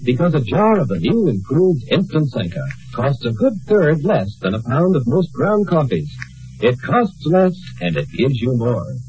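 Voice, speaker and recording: Animated vintage radio pitchman. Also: normal speed, low-quality recording. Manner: persuasive sales delivery. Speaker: commercial announcer. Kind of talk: 1950s radio advertisement. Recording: old-time radio broadcast recording.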